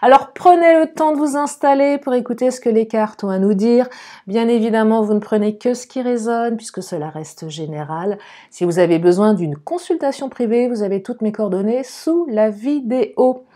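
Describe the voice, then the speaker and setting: A slightly hoarse woman gives an informal love card reading, chatting to viewers and thinking aloud. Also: a little high, moderate pace, dark tone. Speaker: online love card-reading guide and host.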